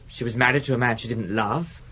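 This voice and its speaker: A man's voice: medium pitch, a little fast, sharp tone, breathy edge, rough recording. An actor playing a sullen, evasive man in his late twenties, a defensive gigolo and addict in a radio drama. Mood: evasive, bitter.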